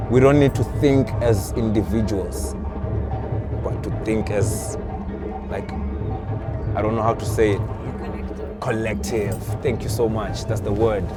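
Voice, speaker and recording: Earnest man groping for words, music behind. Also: medium pitch. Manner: searching for words, repeats himself, earnest. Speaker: interviewee, spiritual seeker. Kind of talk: casual conversation. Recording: music playing loud behind.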